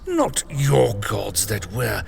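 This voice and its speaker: An actor playing a skeptical, scheming alien lord with an aloof, deliberate manner in an audio drama. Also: male voice, lowish voice, wildly swooping pitch, vocal fry, background noise, heavily acted. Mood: dismissive, calculating.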